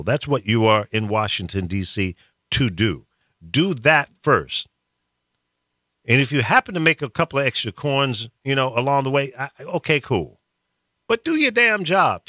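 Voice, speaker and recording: Fiery man with a lively, bright voice. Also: medium pitch, no echo, clean studio sound. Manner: blunt, fiery, stammers and repeats words. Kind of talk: political rant on talk radio.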